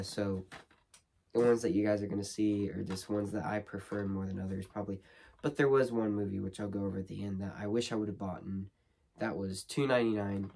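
A teenage boy talks loosely and unscripted, full of ums and false starts. His voice is hoarse from a cold and has a gravelly, creaky edge. A faint noise sits under the recording, made in a small bedroom close to the camera. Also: on the low side, a little mellow. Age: teens. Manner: rambling, lots of ums and restarts. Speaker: horror movie vlogger. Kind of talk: casual unboxing chat. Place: small bedroom studio with shelves.

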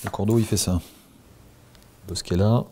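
A man, a dairy farmer talking things over in a thoughtful, measured way in a farmhouse kitchen. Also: on the low side, steady tone, mellow, slight background noise. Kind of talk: conversation with adviser.

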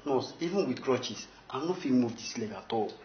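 An actor playing a pained, reluctant patient in a TV drama, speaking Pidgin with a Nigerian accent. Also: male voice, medium pitch, animated, moderate pace, lightly acted. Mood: pained, pleading. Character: pained, reluctant male patient with injured leg. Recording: muffled, echoey, faintly noisy room.

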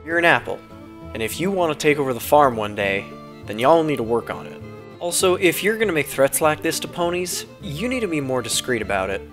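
A man's voice: a little high, big pitch swings, fairly quick, fairly bright tone, faint music behind. A man voicing a stern, exasperated older-sister farm pony, lecturing in a parody cartoon.

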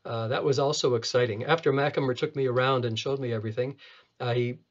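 A man in his seventies from Canada, a retina surgeon reminiscing in an interview, halting and often repeating words as he goes. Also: medium pitch, steady tone, dull audio. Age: 70s. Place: small clinic office, video call.

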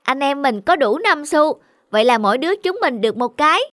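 A woman voicing a kind, protective older boy in a cartoon, speaking gently and earnestly. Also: sits high, animated, a little fast, dry recording, clean studio sound. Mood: happy. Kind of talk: cartoon voice acting.

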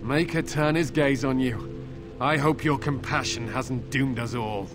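An actor voicing a tormented, defiant young knight in a video game, anguished and halting, bitter and suspicious from captivity. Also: male voice, medium pitch, over music, close to an everyday voice. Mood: grim pleading. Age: young adult. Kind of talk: dramatic video game voice acting.

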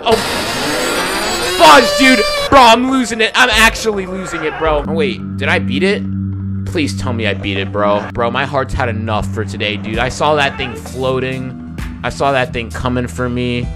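A young man playing a horror game with a headset on in a dark room, talking in slangy, excitable, jokey bursts and yelling when scared. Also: mid-range voice, normal speed. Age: young adult. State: losing his voice. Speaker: gaming YouTuber. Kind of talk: reactive horror game commentary. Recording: game music underneath.

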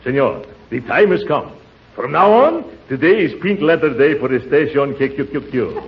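An actor playing a vain, blustery, chuckling middle-aged uncle in a 1940s radio comedy. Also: male voice, a little high, very animated, moderate pace. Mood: grandiose. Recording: muffled, noisy 1940s radio, audience behind.

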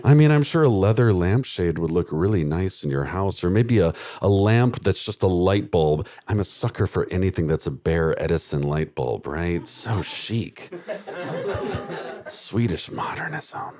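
Middle aged guy doing creepy comedy monologue, lowish voice, really swoopy delivery, drawn out. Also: a little slow. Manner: musing, deadpan macabre, chuckling to himself. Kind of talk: dark comedy stage monologue. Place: small dark theatre, live audience. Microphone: handheld microphone close to mouth.

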